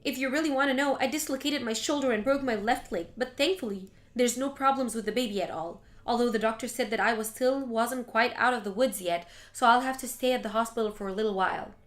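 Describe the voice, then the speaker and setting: A woman's voice: fairly high pitch, fast talker, hardly any pauses, natural voice. A woman voicing a young pregnant woman who is hurt and plain-spoken. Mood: subdued.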